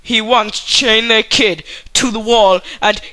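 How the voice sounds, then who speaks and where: A man's voice: high voice, fairly even intonation, slow, bright, forceful tone. A young adult man giving crude, sweary comic scripted narration.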